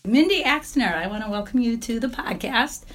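A woman hosting a podcast, slightly hoarse from laryngitis, reading an upbeat intro and then asking her guest questions. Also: on the high side, lively intonation, normal speed, soft background music. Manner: upbeat, enthusiastic. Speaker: podcast host.

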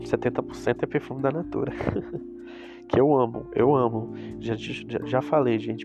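A man with a Brazilian Portuguese accent and a stuffy nose from a cold, chatting casually and rambling to his viewers about reselling cosmetics. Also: mid-range voice. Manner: chatty, rambling, with asides to the audience. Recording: music playing in the background.

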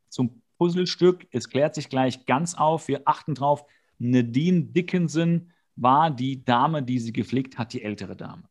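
A man with a slightly high-pitched voice co-hosts a casual podcast. He retells a TV episode with comic animation, breaking into exasperated, slangy outbursts. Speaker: podcast co-host. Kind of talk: casual comedic episode recap.

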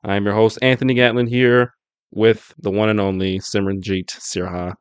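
A man's voice: lowish voice, level tone, normal speed, clear, resonant tone, no room sound. A young man hosting a casual podcast chat. He talks in a laid-back way, laughs often and restarts his phrases. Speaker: podcast host. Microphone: podcast mic.

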